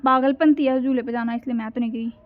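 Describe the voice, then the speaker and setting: A woman chatting casually with family, telling things in an animated way and asking questions. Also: a little high, fast. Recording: dull lo-fi home recording.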